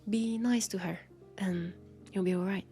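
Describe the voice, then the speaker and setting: A woman's voice: medium pitch, fairly even intonation, moderate pace, warm tone, faint music behind, natural voice. A woman with a French accent playing a guarded but friendly vampire police officer in an intimate audio drama, speaking hesitantly and wryly, as if confiding. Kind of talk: roleplay audio drama, intimate one-sided conversation.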